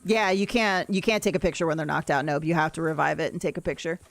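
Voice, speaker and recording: A woman with a deep voice, chatting casually and rambling while she plays a game on a livestream and answers her chat. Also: steady tone, fast, soft background music. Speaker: streamer. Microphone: desk mic, no camera.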